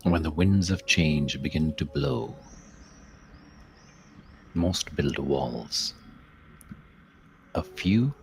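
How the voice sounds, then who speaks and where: Very deep, slow-paced man guiding meditation. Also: a little hiss or hum. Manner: measured, contemplative, with long pauses and softly trailing phrases. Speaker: guided meditation host. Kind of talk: slow guided meditation, spoken-word reflection with verse.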